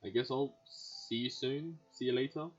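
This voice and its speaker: A woman's voice: rumbling low, normal speed. A woman streamer casually and playfully reacting to a game in short interjections. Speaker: gaming streamer. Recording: dull lo-fi mic, faint game music.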